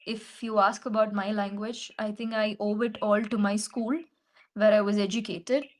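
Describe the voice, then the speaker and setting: A young woman from Kerala with an Indian English accent, an English tutor speaking in a measured, reflective way in a practice conversation. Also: mid-range voice, level tone, low-quality recording.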